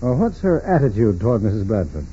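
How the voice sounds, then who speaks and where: An actor playing a calm, probing FBI agent in a 1940s radio drama, questioning in a businesslike, matter-of-fact way. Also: male voice, fairly low pitch, quick pace. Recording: muffled, noisy 1940s radio recording.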